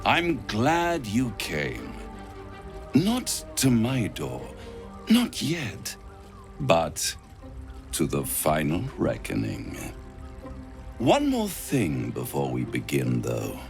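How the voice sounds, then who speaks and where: An actor playing a suave, scheming devil in a video game, speaking with theatrical, silky deliberation. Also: male voice, low-pitched, huge pitch swings, extremely slow, vocal fry, long pauses, music in the background, exaggerated voice. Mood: silky, smug. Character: suave, scheming male devil offering bargains. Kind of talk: video game cutscene acting.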